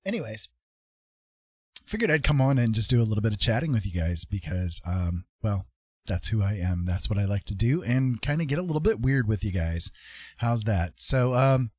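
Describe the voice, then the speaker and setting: A man hosting a casual livestream, chatting to viewers in a rambling, playful, husky voice. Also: on the low side, a little mellow, no echo, studio quality. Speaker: livestream host. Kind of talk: casual chat to live viewers.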